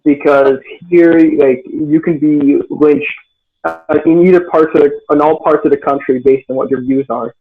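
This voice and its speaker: A man's voice: fairly high pitch, fairly even intonation, normal speed, creaky, faint music behind. Teenage boy on a tinny call. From United States. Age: teens. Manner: hesitant, many fillers and restarts. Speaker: guest. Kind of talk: casual interview answers. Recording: tinny phone-like line.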